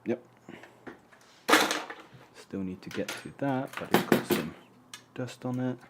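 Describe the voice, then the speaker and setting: A man's voice: mid-range voice, animated, slow pace. A man from Poland hosting a casual live stream, chatting in a rambling way and humming to himself as he works. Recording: loud background noise.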